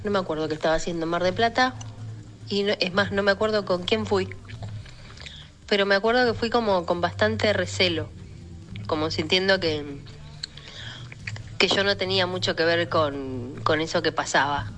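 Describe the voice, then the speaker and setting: A woman with an Argentine accent telling a personal anecdote in a reflective, halting way, as in a voice message. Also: on the low side, a bit bright, slight background noise.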